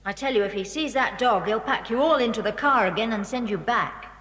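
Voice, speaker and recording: A woman playing an eager, cheeky schoolboy with a brisk, conspiratorial manner in a children's audio drama. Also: on the high side, expressive, fairly bright tone, no echo.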